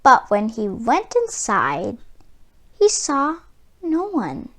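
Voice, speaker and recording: A woman reading a children's book aloud as expressive storybook narration. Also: on the high side, slow pace.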